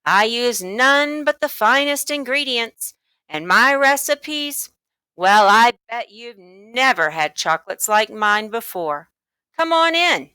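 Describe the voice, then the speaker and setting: Woman putting on a hearty, loud, swooping candy storekeeper. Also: on the high side, animated, slow, smooth, dry recording, pitched above the performer's own voice, heavily acted. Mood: boastful, persuasive. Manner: emphatic, theatrical salesman's delivery. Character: hearty, coaxing, sly male candy storekeeper. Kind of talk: children's storybook read-aloud.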